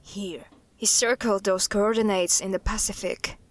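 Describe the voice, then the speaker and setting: A woman's voice: mid-range voice, fairly even intonation, measured pace, slight background noise, natural voice. An actress playing a level-headed, methodical woman investigator in an audio drama. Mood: intent, questioning.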